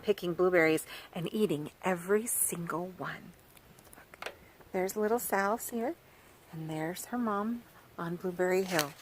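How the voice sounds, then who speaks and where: A woman's voice: fairly low pitch, slight background noise. Woman reading a kids book outside on the farm, bouncy sing-song voice, keeps asking the kids stuff. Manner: animated and sing-song, asking the listener questions. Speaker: children's author reading aloud. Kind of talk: storytime reading for children. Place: outdoors by a farm garden.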